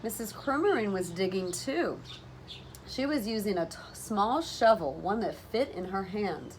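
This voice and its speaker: A woman teacher reading a children's story aloud in an expressive, deliberate manner, with animated phrasing. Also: mid-range voice. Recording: noticeable background noise.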